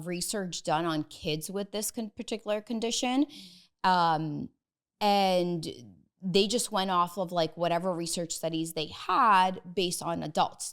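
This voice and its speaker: Smooth-voiced woman in her thirties, earnest. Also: fairly low pitch. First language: Russian. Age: 30s. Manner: earnest, thinks aloud with frequent "like" fillers. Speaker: guest dietitian. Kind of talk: podcast interview, personal story.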